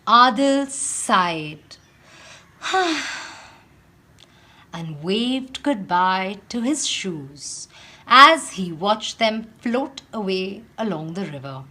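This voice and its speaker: A woman's voice: medium pitch, big pitch swings, drawn-out words, bright, forceful tone. A woman storyteller reading a children's storybook aloud in a theatrical manner, acting out the sighs and exclamations.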